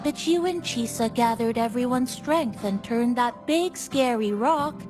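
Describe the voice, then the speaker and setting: A woman voicing a kindly, gently teasing cafe attendant in a video game, with music playing behind her. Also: fairly high pitch, unhurried, lightly acted. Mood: friendly, helpful. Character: playful, kindly female cafe attendant addressing a passenger. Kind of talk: video game character dialogue. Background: game background music.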